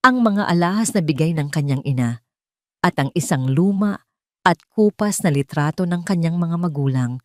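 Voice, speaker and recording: A woman's voice: lowish voice, darkish tone, no echo, clean studio sound. A woman with a Filipino accent delivering a dramatic scripted story narration.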